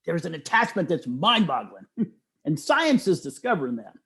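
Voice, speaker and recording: A man's voice: fairly high pitch, normal speed. A middle-aged man talking casually and enthusiastically as an interview guest, telling stories and quoting others with emphasis. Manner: animated storyteller, often quoting others with emphasis.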